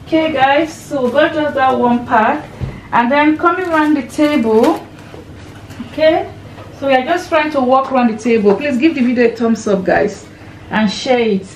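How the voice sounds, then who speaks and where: A woman's voice: sits high, a little hiss or hum, poor audio. A woman and mum chatting casually to viewers as she walks around a table of shopping at home, counting items aloud and naming prices. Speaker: lifestyle vlogger and mum. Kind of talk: casual grocery haul narration. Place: home kitchen, indoors.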